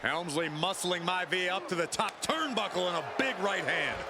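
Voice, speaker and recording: A man's voice: sits high, moderate pace. A man giving emphatic, dramatic commentary and analysis in a wrestling video game. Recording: loud arena noise behind.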